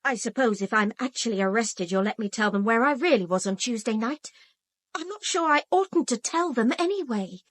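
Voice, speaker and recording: An actress playing a wary, reflective young British woman in a radio drama, speaking in a measured, serious way. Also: a little high, lively intonation, no room sound. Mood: wary, challenging. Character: wary young woman, a minister's daughter, reflective and quietly challenging. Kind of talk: radio drama acting with first-person narration.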